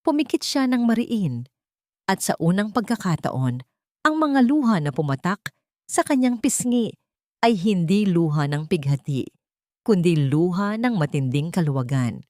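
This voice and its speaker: Low-voiced woman narrating a dramatic story. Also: very animated, measured pace, a little mellow, no room sound, clean studio sound.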